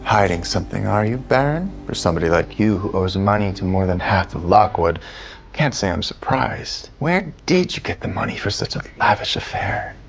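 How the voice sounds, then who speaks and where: Deep gravelly sneering creditor man. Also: sing-song, normal speed. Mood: mocking menace. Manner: mocking, insinuating. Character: menacing, sneering creditor, adult male noble guest. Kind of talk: audio drama character acting.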